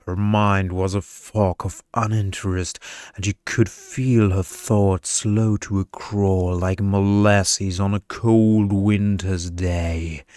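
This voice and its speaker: Very slow, smooth-voiced man narrating. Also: low voice, level tone, darkish tone, no room sound. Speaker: story narrator. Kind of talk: slow ASMR storytelling for sleep.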